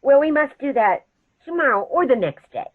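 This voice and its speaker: A woman's voice: sits high, big pitch swings, normal speed, a little hiss or hum. Woman acting prim, lilting elderly aunt, muffled recording. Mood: prim, matter-of-fact. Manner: prim, gently chiding, unruffled. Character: genteel elderly aunt, serenely matter-of-fact and scolding. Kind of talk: stage comedy radio theatre acting.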